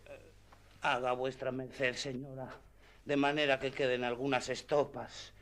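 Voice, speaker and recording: A man's voice: mid-range voice, flat delivery, a little slow, a bit bright, close to an everyday voice. A man playing a talkative, earthy squire in a radio play, folksy and self-important as he explains things. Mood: evasive, sore. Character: talkative, earthy squire, boastful of his master. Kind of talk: radio theatre acting.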